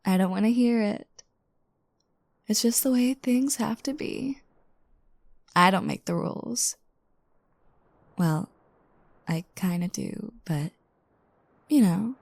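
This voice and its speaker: A mellow, slightly gravelly young girlfriend, acted by a woman in an intimate audio roleplay, speaking slowly and softly right up close. She is playful and teasing, with a drowsy just-woke-up manner and a dry, roomless sound. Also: mid-range voice, no echo. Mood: mock bossy, teasing. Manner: soft, breathy asides, teasing, unhurried.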